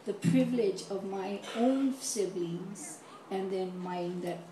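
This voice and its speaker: An older woman from Hawaii speaking reflectively at an audience mic, in halting, deliberate and solemn phrases. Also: medium pitch, dark tone. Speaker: audience member asking a question. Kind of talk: reflective personal speech at an open mic. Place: large echoey room, event audience mic. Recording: echoey, distant mic, noisy room.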